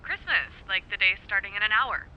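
Sarcastic young daughter on phone. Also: female voice, medium pitch, expressive, fairly quick. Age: young adult. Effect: telephone filter. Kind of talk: naturalistic audio drama acting. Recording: muffled phone line, faint noise.

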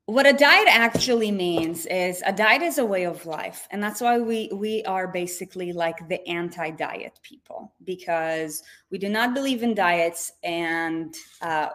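A woman from Israel coaching on nutrition in a casual livestream, chatty, with lots of fillers and restarts. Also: lowish voice.

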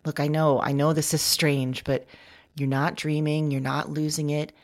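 A woman acting in an audio drama monologue as a weary, urgent woman, speaking in a halting, repetitive, intense way. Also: deep voice, flat delivery. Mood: urgent, earnest. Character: weary, urgent woman speaking across time to other women. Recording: ambient music bed under the voice. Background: music.

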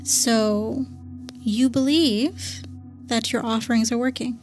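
A woman playing a tabletop roleplaying game in a fairly high voice, politely questioning others in character. She moves between narrating her actions and speaking as her character, over faint music. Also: fairly even intonation, slow, darkish tone. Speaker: player.

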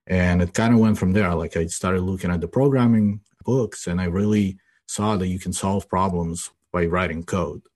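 A man's voice: sits low, fairly even intonation, moderate pace, few pauses, darkish tone. A man in his forties from Ukraine, a guest in a casual podcast interview, rambling and tagging his sentences with "you know" and "right?". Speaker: guest, IT business owner.

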